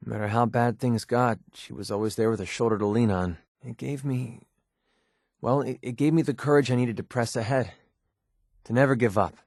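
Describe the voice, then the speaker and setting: An actor playing a guarded, wry and short-tempered young mercenary with a tender side in a video game, speaking informally. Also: male voice, mid-range voice, a little mellow, dry recording, clean studio sound, lightly acted. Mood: hesitant, sorrowful, tender. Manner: informal, halting when emotional. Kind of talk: scripted video game character dialogue.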